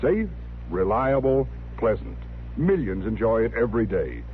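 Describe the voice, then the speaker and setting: A man's voice: mid-range voice, big pitch swings, moderate pace, slight background noise. A man reading a scripted 1950s radio commercial as an announcer, with an emphatic, polished sales delivery. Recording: tinny old radio broadcast.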